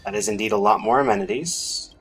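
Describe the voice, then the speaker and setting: A man's voice: fairly low pitch, expressive, brisk, vocal fry, a bit bright, slightly rough. A man giving casual gameplay commentary as a streamer, half-muttering his thoughts aloud to himself. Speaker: game streamer. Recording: music behind the voice.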